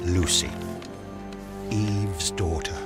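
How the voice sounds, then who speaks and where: Stately old male gift-giver, low voice, slow, benevolent and grave, radio drama, loud music behind. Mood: solemn, kindly. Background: prominent music.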